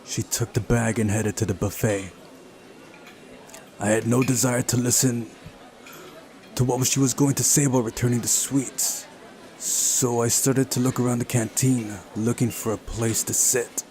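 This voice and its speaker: Slow-paced man narrating a visual novel. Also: medium pitch, level tone, darkish tone. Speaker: video game playthrough host. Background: faint music.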